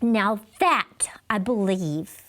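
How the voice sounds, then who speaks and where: A woman's voice: medium pitch, animated, extremely slow, fairly bright tone. A woman voicing a pushy, skeptical first-grade boy in a children's storybook, blunt and questioning. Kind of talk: children's storybook read-aloud.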